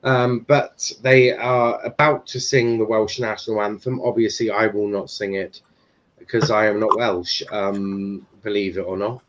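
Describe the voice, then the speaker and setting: A man's voice: lowish voice, little pitch movement, measured pace, a little hiss or hum. Rambling guy streaming football chat. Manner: rambling, many fillers and restarts, swears casually. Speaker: stream host and commentator. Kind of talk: casual alternative football commentary. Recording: dull lo-fi stream audio.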